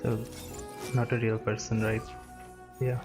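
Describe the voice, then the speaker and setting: Gamer, casual commentary, medium pitch, flat delivery, muttered reactions, music behind. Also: a little slow.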